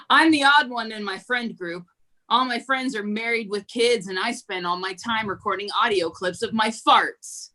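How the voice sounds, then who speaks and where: Woman comic, stand-up one-liners, drawn-out deadpan pacing, lively swooping intonation, video call. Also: medium pitch, low-quality recording. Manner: slow, deadpan delivery with giggles between jokes. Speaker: stand-up comedian.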